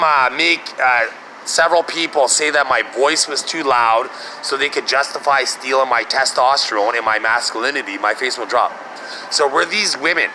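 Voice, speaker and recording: A man in a middle pitch rambles through a self-recorded monologue, stumbling over fillers and restarting his sentences. He keeps asking himself questions and answering them. Traffic and street noise sit clearly behind his voice outdoors. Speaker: vlogger.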